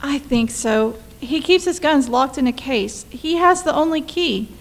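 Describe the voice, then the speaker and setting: A woman's voice: high-pitched, lively intonation, measured pace. A woman playing an anxious, pleading wife in a radio play, urgent and insistent. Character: anxious, pleading young wife fearing murder.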